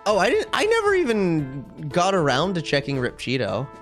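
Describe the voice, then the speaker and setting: A video game streamer chatting casually with viewers on a live stream, rambling and thinking out loud. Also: mid-range voice, huge pitch swings, moderate pace, faint music behind.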